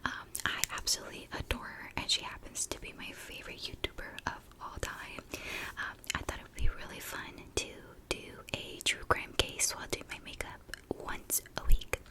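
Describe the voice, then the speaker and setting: A woman speaking in a soft, breathy whisper, slowly and deliberately telling a story in ASMR style, sometimes softly repeating words. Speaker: ASMR YouTuber, host.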